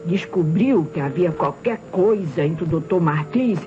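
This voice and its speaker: An actress playing a deferential, hesitant housemaid with uneducated speech and a Brazilian accent in an old radio crime drama. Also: female voice, lowish voice, animated, a little slow, warm tone, faint music behind. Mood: nervous, confiding. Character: flustered, deferential housemaid of a murdered man. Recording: muffled vintage radio recording with hiss.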